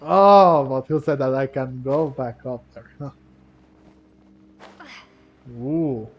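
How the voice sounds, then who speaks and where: A man giving casual let's-play commentary, rambling and halting as he thinks aloud. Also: medium pitch, highs cut off. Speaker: gaming commentator. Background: video game music.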